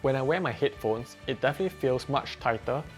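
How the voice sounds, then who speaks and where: A man reviewing a headset in a plain, measured, explanatory way, as a scripted voice-over. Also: medium pitch, lively intonation, soft background music. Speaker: tech reviewer. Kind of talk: scripted product review narration.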